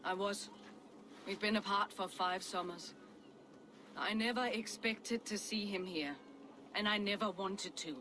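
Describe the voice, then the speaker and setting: An actress playing a firm, guarded Viking noblewoman in a video game, direct and measured in her speech. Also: medium pitch, background noise, natural voice. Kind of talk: dramatic video game acting.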